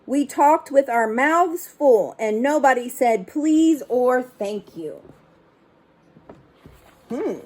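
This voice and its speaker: Slow, high-voiced woman reading a storybook. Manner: expressive, instructive, addressing young listeners. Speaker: teacher reading a picture book aloud. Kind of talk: storybook read-aloud with brief asides.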